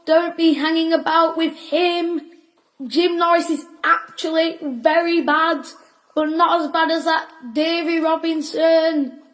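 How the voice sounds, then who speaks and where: Cheeky kid, really high voice, playful and clipped, period audio play. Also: female voice, little pitch movement, slow, fairly bright tone, full character voice, low-quality recording. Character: cheeky, teasing working-class Victorian child. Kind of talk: audio drama acting.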